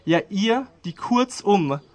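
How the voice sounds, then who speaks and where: A man's voice: sits high, expressive, slow. A young man giving a public commemorative speech, proud and rhetorical in manner. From Germany. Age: young adult. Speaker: speaker at a commemoration.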